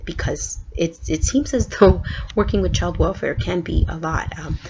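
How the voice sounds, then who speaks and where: A woman's voice: lowish voice, normal speed, creaky. A young woman from Guatemala introducing herself as a student in a casual video, earnest and full of ums and restarts. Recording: dull lo-fi recording with background noise.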